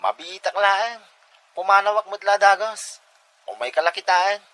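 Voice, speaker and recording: A man's voice: high voice, normal speed, sharp tone. A man playing a stammering teenage boyfriend. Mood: sweet. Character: teenage boy Brian, Donna's boyfriend. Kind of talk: amateur audio drama acting.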